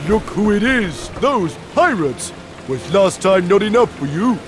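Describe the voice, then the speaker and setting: A big, hearty, simple-minded Gaul warrior for a cartoon game, blunt and naive. The voice sits high and swoops widely at a slow pace. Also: male voice, very animated, music in the background. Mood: gleeful. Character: big hearty dim brawny Gaul warrior, good-natured and hungry. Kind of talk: video game cartoon acting.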